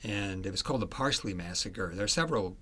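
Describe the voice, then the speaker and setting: A man speaking as an author in a conversational interview about his book, halting as he searches for words. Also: sits low, expressive, bright, forceful tone.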